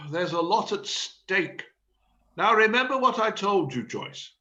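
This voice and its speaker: A man's voice: high voice, lively intonation, slow, bright, forceful tone, lo-fi sound, full character voice. A man playing an exasperated, theatrical amateur stage director in a read-through of a comedy play. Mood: anxious, bossy.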